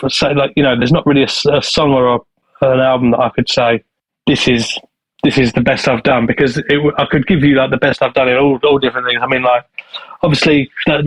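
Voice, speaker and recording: A man's voice: medium pitch, dry recording, low-quality recording. Manchester producer guy chatting, lots of restarts and ums, mid voice, dry mic. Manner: rambling with many restarts and fillers. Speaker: studio owner and producer. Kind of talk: casual interview answers.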